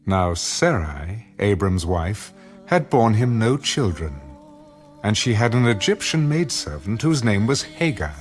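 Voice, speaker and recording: A man's voice: on the low side, very animated, a little slow. A man narrating a dramatized scripture in a solemn storytelling style. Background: music.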